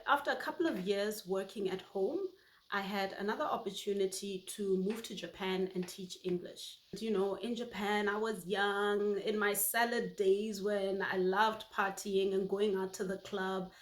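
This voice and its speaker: A woman's voice: mid-range voice, fairly even intonation, moderate pace. Heartfelt woman from Botswana, echoey room. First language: Setswana. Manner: warm, heartfelt storytelling. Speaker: YouTube host. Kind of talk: personal reflective monologue.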